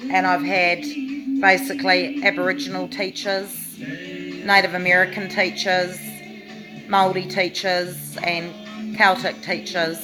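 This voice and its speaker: A woman speaks to camera in a loose, rambling way, often pausing on fillers. Her voice sits on the low side and she takes her time. Music plays clearly behind her. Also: little pitch movement, low-quality recording. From New Zealand. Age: 40s. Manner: rambling, many fillers and restarts. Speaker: vlogger. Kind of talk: casual talking to camera.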